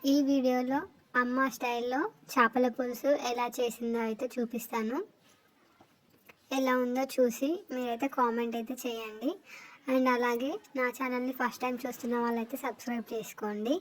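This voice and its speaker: A woman's voice: a little high, little pitch movement, moderate pace, rough recording. A woman narrating a recipe voice-over step by step in an explanatory way. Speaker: cooking vlogger.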